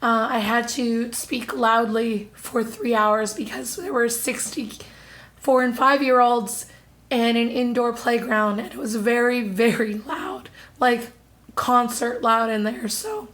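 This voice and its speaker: A woman in her thirties with a hoarse, husky voice, still recovering from losing it, chatting casually to camera with lots of fillers. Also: a little high, fairly even intonation, takes it slowly, fairly bright tone. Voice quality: husky, strained. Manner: chatty, apologetic, many fillers. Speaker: vlogger. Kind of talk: casual monthly haul-style chat to camera.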